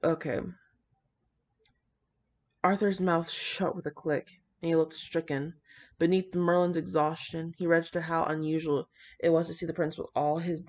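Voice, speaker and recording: A woman's voice: sits low, a little mellow. A woman reading and reacting to fan fiction in a casual, brash, slangy and dramatic way. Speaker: video creator reading fan fiction aloud with commentary. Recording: dull lo-fi audio.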